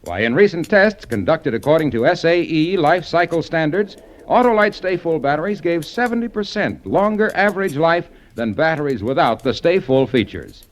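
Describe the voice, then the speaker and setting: A man's voice: on the high side, very animated, normal speed, few pauses. A man reading a 1950s radio sponsor commercial in a bouncy, salesy, emphatic way. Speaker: commercial announcer.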